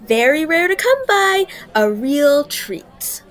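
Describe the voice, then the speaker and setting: A woman playing a mysterious, courteous stallholder who speaks in an unhurried, knowing manner, in a fan audio drama. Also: high voice, big pitch swings, heavily acted. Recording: music underneath.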